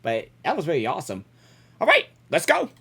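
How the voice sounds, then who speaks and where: Very animated woman reacting to a game. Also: deep voice, brisk, creaky, breathy edge. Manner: casual, repeats herself, reacts aloud. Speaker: gaming reaction streamer. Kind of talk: casual live reaction commentary. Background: game music.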